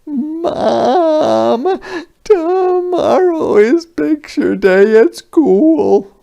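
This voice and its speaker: A man's voice: very high-pitched, animated, very slow, creaky, dark tone, highs cut off, voice pushed up, heavily acted. A man voicing an upset, pleading young schoolgirl in a storybook read aloud. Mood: wailing.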